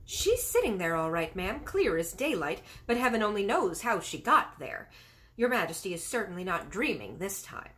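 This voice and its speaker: A woman voicing a flustered, deferential middle-aged maid who stammers and speaks high and breathless. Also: very animated, normal speed, a bit bright, a little hiss or hum. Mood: indignant.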